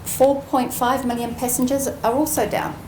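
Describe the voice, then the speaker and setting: A woman's voice: sits high, little pitch movement, normal speed. New woman speechmaking in an echoey room. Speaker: aviation training executive giving a speech. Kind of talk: prepared graduation ceremony speech. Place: indoor ceremony room. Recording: roomy echoing venue, faint background noise.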